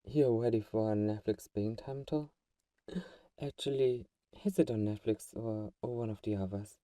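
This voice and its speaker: A man playing a doting but secretly manipulative vampire friend in an ASMR roleplay, soft-spoken and fussing, with a mellow, dark tone. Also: on the low side, fairly even intonation, normal speed, dry recording, clean studio sound, distant mic, lightly acted. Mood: fussing, concerned.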